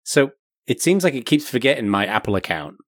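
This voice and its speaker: A man's voice: mid-range voice, big pitch swings, brisk, no echo, studio quality. A man co-hosting a casual tech podcast, ranting about small annoyances with frequent stumbles and restarts. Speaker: podcast co-host.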